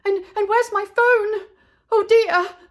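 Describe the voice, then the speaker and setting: A woman's voice: very high voice, fairly even intonation, takes it slowly, voice pushed up. A woman voicing a fretful nanny in a children's storybook, flustered, breathless and stammering.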